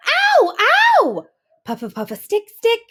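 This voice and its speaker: A small, hungry storybook tomten, acted out by a woman reading aloud, demanding and full of exclamation. The voice is high and pushed, leaping up and down in pitch. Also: huge pitch swings, slow pace, fairly bright tone, exaggerated voice. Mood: yowling in pain. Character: hungry, demanding little tomten who shouts and yowls.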